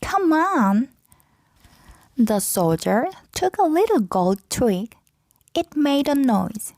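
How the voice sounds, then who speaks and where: A woman narrating a children's fairy tale aloud from a script, with deliberate, clearly phrased delivery. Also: fairly high pitch, mellow, no room sound. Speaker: storybook narrator.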